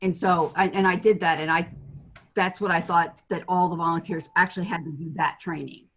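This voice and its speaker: A woman's voice: lowish voice, fairly even intonation, fairly quick, a bit bright, slightly rough, lo-fi sound. A woman on a webinar call asking questions, hesitant and probing.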